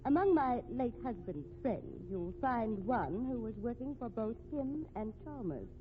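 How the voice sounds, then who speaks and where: An actress playing a cool, unflappable widow under suspicion in a 1950s radio mystery, arch, haughty and drily mocking. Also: female voice, on the high side, very animated, unhurried. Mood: sly, insinuating. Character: cool, sardonic, unflappable widow, a murder suspect. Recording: noisy, tinny old radio recording.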